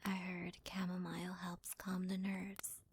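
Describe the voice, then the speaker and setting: A woman playing a devoted, deferential servant in an ASMR roleplay, with a soft, breathy, half-whispered voice. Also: fairly low pitch, monotone, a little slow, slight background noise. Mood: concerned, soothing. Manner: gentle, deferential, hesitant. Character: devoted, doting young female servant to her master.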